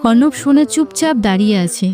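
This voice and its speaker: A woman's voice: on the high side, animated, brisk, warm tone. A woman narrator reading a scripted romantic story.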